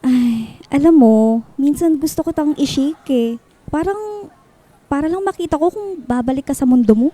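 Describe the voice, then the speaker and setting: A woman playing a playful, teasing young student best friend in a radio drama, with a Filipino accent and an expressive, chiding delivery. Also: sits high, normal speed, dry recording. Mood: teasing, curious.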